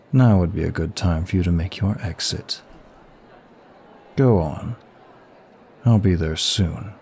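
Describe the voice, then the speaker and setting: Casting: male actor for an ancient lust demon in ASMR roleplay, low register, unhurried, sultry and teasing. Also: gravelly, darkish tone, slight background noise, full character voice. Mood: tender, seductive. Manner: slow, sultry, lingering delivery. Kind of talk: intimate ASMR roleplay acting addressed to listener.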